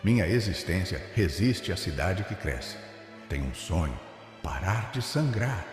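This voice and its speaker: A man with a Brazilian accent voicing a wounded, thirsty river in solemn, poetic first-person narration, with a gravelly voice. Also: deep voice, big pitch swings, unhurried. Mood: yearning, solemn. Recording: cavernous echoing reverb with faint music.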